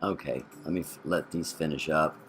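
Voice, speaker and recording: A man's voice: very deep voice, moderate pace, a bit bright, slight background noise. A man co-hosting a gameplay video, thinking aloud as he plays and correcting himself mid-sentence. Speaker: gameplay channel co-host. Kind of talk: casual gameplay commentary.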